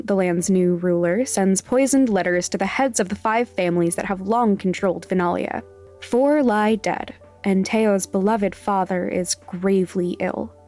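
With music behind her, a woman reads scripted material as a podcast host. Her voice sits at a medium pitch and she speaks as an announcer to listeners. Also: a little mellow.

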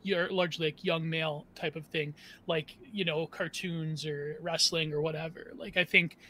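A man's voice: on the high side, flat delivery, moderate pace, sharp tone, a little hiss or hum. A man talking casually as a panel guest, rambling and filling nearly every phrase with "like". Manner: rambling, constant "like".

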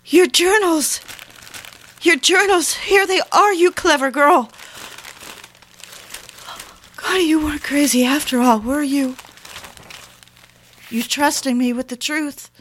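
Actress as lonely, emotional woman, slow and higher-voiced. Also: fairly bright tone. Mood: tearful, overwrought. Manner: theatrical, breathy exclamations, talking to herself. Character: lonely, emotional young woman narrating her secret discovery. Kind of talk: dramatic first-person audio drama narration and monologue. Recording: clearly noisy recording with clicks.

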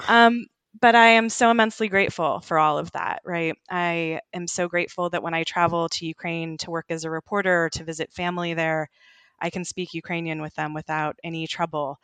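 A woman in her thirties speaks as a podcast guest, earnest and reflective. Her voice is smooth and resonant. It sits close and dry on the mic, with no room sound. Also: on the low side, steady tone, brisk, a bit bright, no room sound. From United States. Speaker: journalist guest. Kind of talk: casual podcast conversation.

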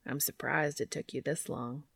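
A woman voicing a calm, reassuring and quietly bossy man in an audiobook-style story, measured and wry. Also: sits low, gravelly, slightly rough, no echo, voice pushed down, exaggerated voice. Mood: low, reassuring.

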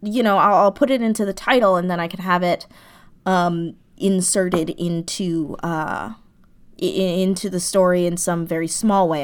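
A woman chatting casually as a podcast guest, an animated, effusive storyteller talking about her writing. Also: fairly low pitch. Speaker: podcast guest, audio drama writer. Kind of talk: casual interview chat.

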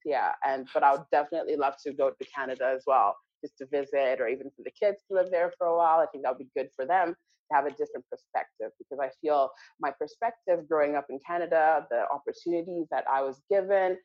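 A woman from Malawi talking as an interview guest, reflective and thoughtful, often pausing with fillers and restarting her sentences. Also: sits low, muffled recording. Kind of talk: casual interview conversation.

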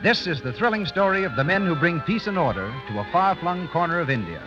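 An animated American man works as a 1930s radio announcer, selling with polished, formal phrasing and lively swings of pitch. The sound is a dull old broadcast with music clearly behind him. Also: fast talker.

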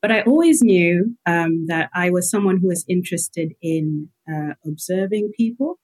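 A woman from Malawi, a guest health psychologist who thinks aloud with pauses as she answers about herself. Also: on the low side, lively intonation, a little mellow. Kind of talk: reflective personal interview answers.

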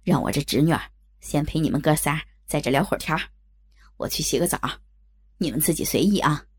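Woman putting on smug low-voiced male boss. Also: a little fast, breathy edge. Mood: smug, commanding. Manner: casual, commanding, colloquial. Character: smug, jovial, bossy male boss showing off.

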